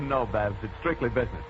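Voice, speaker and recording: An actor playing a brisk, confident, teasing young sleuth in an old radio drama. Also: male voice, medium pitch, natural voice. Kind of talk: 1940s radio acting. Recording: noisy muffled old radio recording.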